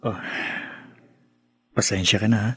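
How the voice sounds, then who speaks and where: A man's voice: lowish voice, steady tone, unhurried, fairly bright tone, husky, no echo, studio quality, heavily acted. A man playing a mild, conciliatory young husband and dutiful son in a Filipino radio drama, speaking in a hesitant, soothing way.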